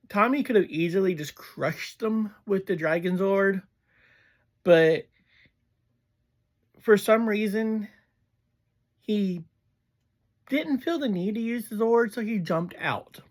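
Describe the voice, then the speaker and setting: A casual reviewer talking through an episode in a halting way, often pausing mid-sentence. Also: medium pitch. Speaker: reviewer and host of a review series.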